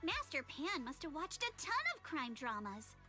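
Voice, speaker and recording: An actress voicing a confident, sharp-witted young woman in a game, talking things through animatedly. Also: sits high, sing-song, moderate pace, big-room sound, faint music behind, exaggerated voice.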